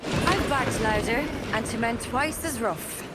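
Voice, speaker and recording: An actress playing a bold, tough young pirate quartermaster in a game cutscene. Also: female voice, on the high side, takes it slowly, heavy background noise. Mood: wry. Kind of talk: dramatic game cutscene acting. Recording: loud music under the voice.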